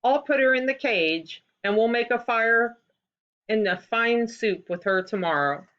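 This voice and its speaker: A woman's voice: on the high side, animated, measured pace. A woman voicing a boastful, firm hunter father in a storybook tale, on a slightly dull recording. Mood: gloating.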